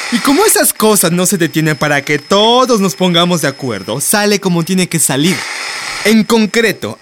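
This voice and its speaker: An actress playing a reflective, self-justifying television devotee, delivering an articulate radio drama monologue. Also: female voice, mid-range voice, a little hiss or hum, natural voice. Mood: matter-of-fact. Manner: musing, articulate.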